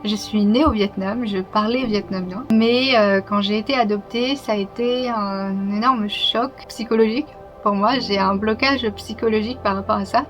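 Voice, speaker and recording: A young woman talking to her channel in a casual, reflective way, open and a little hesitant, with soft laughs. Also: mid-range voice, normal speed, few pauses. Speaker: YouTuber. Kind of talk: casual personal vlog talk. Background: music.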